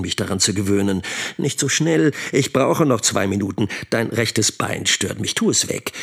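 Brooding middle-aged teacher, low husky voice, bitter, lonely, radio play. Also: male voice, full character voice. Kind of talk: radio drama monologue.

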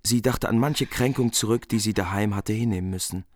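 A man narrating a medieval epic in a measured, grave manner. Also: fairly low pitch, a little hiss or hum.

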